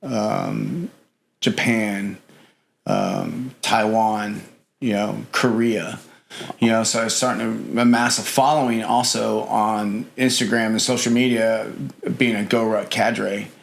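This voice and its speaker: A man's voice: fairly low pitch, slow pace, vocal fry. A middle-aged man talking as a podcast guest in casual interview chat, rambling with lots of fillers and "you know".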